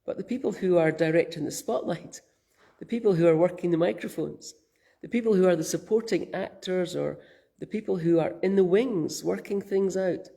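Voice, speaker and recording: A Scottish woman minister giving a gentle, thoughtful spoken reflection, her voice croaky from a cold. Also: lowish voice, normal speed. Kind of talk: reflective sermon.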